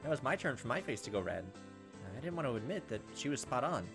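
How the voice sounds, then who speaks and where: A man's voice: mid-range voice, very animated, fast. A man hosting a let's play, reading game text aloud and adding casual, wry asides. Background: game music.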